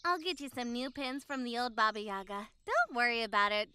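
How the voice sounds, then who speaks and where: Woman as a bright, high-voiced playful big sister. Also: expressive, brisk, slight background noise. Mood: teasing. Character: playful, confident older temple sister, reassuring and teasing.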